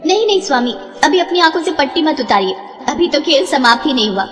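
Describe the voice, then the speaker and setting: A woman's voice: high voice, very animated, fast, fairly bright tone, long reverb tail, soft background music. A woman voicing a playful, teasing goddess and devoted wife in a devotional story, speaking sweetly and formally. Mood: playful, firm. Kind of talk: animated devotional story dialogue.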